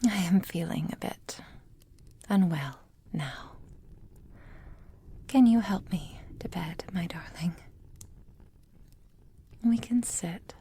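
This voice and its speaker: A woman playing a possessive, manipulative witch lover in an intimate ASMR roleplay, whispering in a formal, measured, softly controlling way. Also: on the low side, exaggerated voice. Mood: possessive, fond. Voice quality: breathy, mostly whispered.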